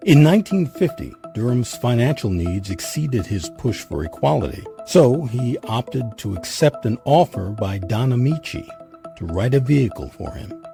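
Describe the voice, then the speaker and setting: A man reading scripted history documentary narration in a measured, emphatic way. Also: medium pitch, vocal fry. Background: music.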